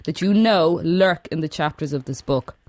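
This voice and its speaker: An Irish woman co-hosting a radio show, quizzing a teenage guest in a brisk, playful way. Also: sits low, darkish tone, no echo. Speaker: co-host. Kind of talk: casual radio chat.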